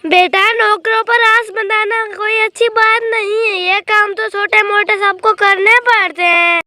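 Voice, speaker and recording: Woman voicing a very high, nagging mother bird. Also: fairly even intonation, measured pace, pushed and bright, a little hiss or hum, heavily acted. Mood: exasperated. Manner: scolding, lecturing, affectionate address. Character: nagging, exasperated mother and mother-in-law bird, middle-aged. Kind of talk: Hindi cartoon story dialogue.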